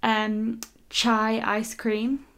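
Young woman, British accent, casual podcast chat, co-host, keen, expressive. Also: on the high side, drawn-out words, clean tone.

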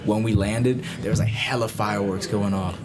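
A man's voice: fairly low pitch, steady tone, normal speed. A man in his twenties, a music artist from Tampa, talking casually and rambling with lots of "like" and "you know what I mean.". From Tampa, Florida; born in Vietnam. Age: 20s. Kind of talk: casual interview chat.

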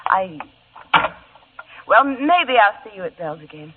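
A woman's voice: mid-range voice, big pitch swings, a little slow, noisy background. A young actress playing a shy, flustered woman who stammers and hesitates, in old-time radio acting. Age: young adult. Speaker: dinner guest. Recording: tinny old radio broadcast.

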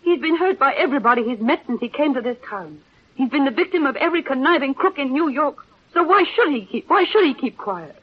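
Fervent newspaperwoman, high voice, earnest pleading, theatrical 1930s radio delivery, dull vintage recording. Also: expressive, brisk. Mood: impassioned. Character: impassioned newspaperwoman pleading for the man she loves. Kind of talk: 1930s-40s radio drama acting. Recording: muffled old radio recording.

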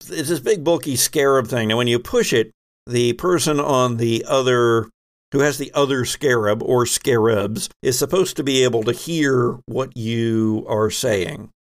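Male game master, medium pitch, improvised storytelling with a halting, searching delivery. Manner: halting, pauses mid-sentence to find words. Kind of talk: improvised tabletop game narration.

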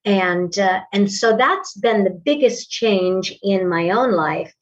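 An older woman in her sixties talks in a measured, articulate way about her teaching. The recording sounds dull and lo-fi. She is a little away from the mic. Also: mid-range voice, recorded from a distance. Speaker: voice and acting teacher. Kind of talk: casual interview conversation. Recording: dull lo-fi audio, slightly off-mic.

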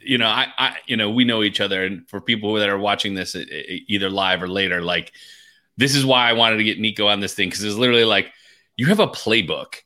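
A man's voice: lowish voice, quick pace, bright, forceful tone. A man hosting an interview, chatting casually and enthusiastically with his guest. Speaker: interview host.

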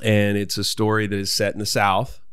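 A man's voice: on the low side, completely flat delivery, fairly quick, a bit bright, smooth, no room sound. A man hosting a podcast, chatting casually and rambling as he searches for words. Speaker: podcast host.

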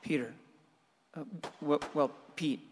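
Casting: hesitant, earnest family man, slightly high voice, radio drama, roomy hall reverb. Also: steady tone, slight background noise. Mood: timid, earnest. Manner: halting, stammering. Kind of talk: radio play acting.

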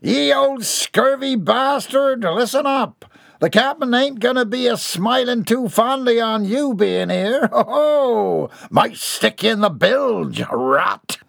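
Crude jeering pirate deckhands: high, drawling, gravelly sailor dialect. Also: male voice, animated, slow pace, a bit bright, no echo, heavily acted. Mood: rowdy jeering. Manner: rough pirate dialect, cackling. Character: rowdy cackling male pirate deckhands, crude.

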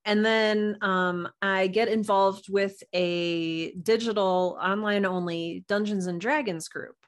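A woman with a medium-pitched voice chats as an interview guest. She tells stories in a playful, conversational way, acting out little remarks as she goes. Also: fairly even intonation, slow pace. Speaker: guest author and podcast producer. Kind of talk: casual interview storytelling.

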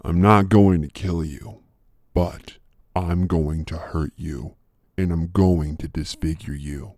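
A man reading a story, voicing a calm, threatening male enforcer who speaks slowly. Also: no echo, clean studio sound. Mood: menacing. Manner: slow, low, threatening.

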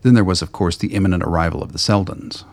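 A man with a gravelly, husky voice reading scripted narration for a radio play. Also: low voice, fast talker, no room sound.

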